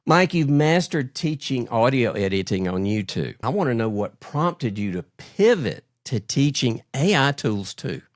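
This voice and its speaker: A man's voice: mid-range voice, big pitch swings, measured pace, few pauses, dry recording, studio quality. A middle-aged man hosting an interview show, speaking conversationally as he introduces and questions his guest. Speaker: podcast host.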